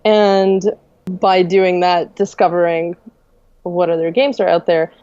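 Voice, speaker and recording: Soft, low-voiced young woman. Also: slow, clean tone, no echo, highs cut off. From Russia. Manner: relaxed, soft-spoken, many fillers and laughs. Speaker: game designer, guest. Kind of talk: casual podcast interview.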